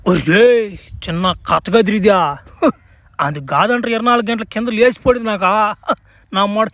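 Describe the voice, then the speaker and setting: A man's voice: high voice, animated, moderate pace, gravelly, a bit bright, no echo, exaggerated voice. A man voicing a brash, foul-mouthed parody superhero who boasts and taunts in slang, in a crude comic cartoon dub. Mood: exasperated. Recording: music behind the voice.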